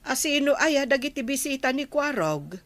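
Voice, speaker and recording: A woman acting as an inquisitive villager in a comedy drama. Her fairly high voice has an Ilocano accent and a conversational, questioning manner. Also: sing-song, moderate pace, fairly bright tone, heavily acted. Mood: curious.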